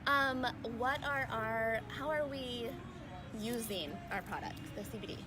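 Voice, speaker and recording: An enthusiastic, chatty woman hosts a casual live-stream interview at a convention. Her voice sits a little high. Very loud music plays behind her the whole time. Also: measured pace. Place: busy convention venue.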